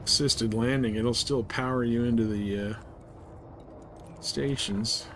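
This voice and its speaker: A man's voice: mid-range voice, fairly even intonation, takes it slowly, background noise. A man giving casual live gameplay commentary as a streamer, thinking aloud in short, laid-back fragments. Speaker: game streamer.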